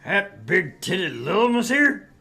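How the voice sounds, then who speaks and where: A man's voice: high-pitched, very animated, slow, vocal fry, sharp tone, exaggerated voice. A man voicing a brash, crude, sleazy middle-aged tabloid editor in a visual novel read-through, with a pompous, pushy manner.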